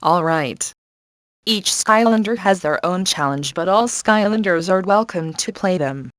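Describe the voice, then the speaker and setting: An actress playing a confident, sassy young adventurer in a cartoon game, a wry and encouraging mission guide. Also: female voice, on the low side, animated, a little slow, dry recording, studio quality. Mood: earnest, encouraging. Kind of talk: video game character acting.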